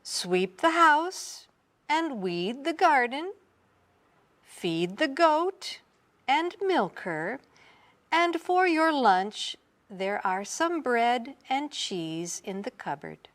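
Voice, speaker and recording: A woman's voice: high voice, sing-song, extremely slow, a bit bright, pitched above the performer's own voice, exaggerated voice. A woman voicing an old storybook village witch, kindly but bossy, chanting and giving firm instructions in a singsong way. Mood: firm, instructing.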